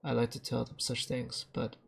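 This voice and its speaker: A man reads game text aloud as dramatic narration. His mid-pitched voice is husky and full of fry. The delivery is halting, with stumbles over words. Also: completely flat delivery.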